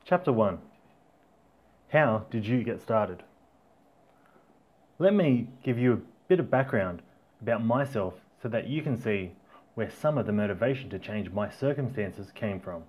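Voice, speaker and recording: A man's voice: fairly low pitch, expressive, a little slow, pauses often, highs cut off. A middle-aged man from Tasmania with an Australian accent, narrating his own life story as an audiobook in a plain, reflective way with small restarts. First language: Auslan.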